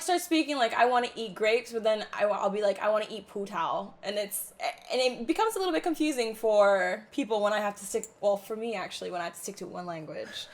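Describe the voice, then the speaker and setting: American woman, young adult, co-host, curious, chatty, lots of "like". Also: mid-range voice. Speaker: podcast co-host. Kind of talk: casual interview chat.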